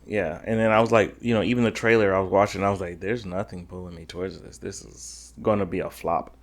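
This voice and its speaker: A man's voice: low-pitched, fairly even intonation, fast. A man co-hosting a casual gaming podcast, rambling with many restarts and blunt opinions. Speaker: podcast co-host. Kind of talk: casual chat.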